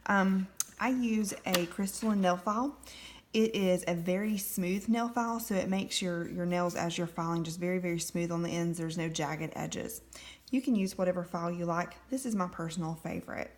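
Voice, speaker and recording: A woman's voice: on the low side, steady tone, normal speed. A woman giving a casual step-by-step manicure tutorial, explaining each step with frequent ums. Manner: friendly step-by-step instructions with frequent fillers. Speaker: nail product demonstrator.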